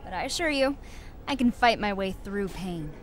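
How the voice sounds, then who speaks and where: A woman's voice: medium pitch, lively intonation, moderate pace, lightly acted. A composed female rookie wrestler, voiced by a woman, answers evenly. Her mid-range voice comes through with faint hiss.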